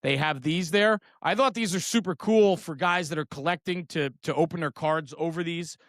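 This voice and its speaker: A man's voice: a little high, moderate pace, fairly bright tone, no room sound, studio quality. A middle-aged man in a casual, enthusiastic show-and-tell chat, speaking informally with slang and often checking for agreement. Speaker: guest, trading card brand founder.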